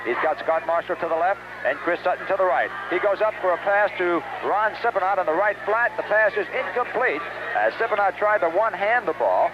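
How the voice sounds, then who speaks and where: An American man gives live football play-by-play in a high-set voice. The audio is a muffled 1970s radio broadcast with clear background noise, recorded at an outdoor game. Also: animated, a little fast.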